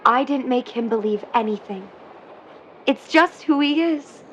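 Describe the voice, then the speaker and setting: A woman's voice: high-pitched, measured pace, a bit bright, lo-fi sound, slight background noise. A woman playing an earnest, dreamy young mermaid in love, in a 1950s period audio drama, with wistful, lyrical delivery. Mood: defiant, bright.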